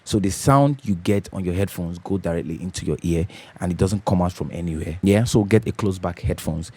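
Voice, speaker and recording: Deep bassy man, mellow tone, easygoing tutorial host, dry home studio. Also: fairly quick, breathy edge. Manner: easygoing, repeats key points, adds "yeah?" to check in. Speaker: host of a home recording channel. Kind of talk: casual tutorial talk to camera. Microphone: studio condenser microphone.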